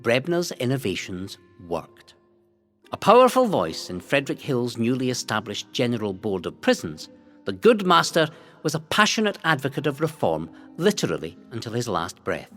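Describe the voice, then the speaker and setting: Animated male documentary narrator over music. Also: medium pitch. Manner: measured, formal, dramatic phrasing. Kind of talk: scripted historical documentary narration.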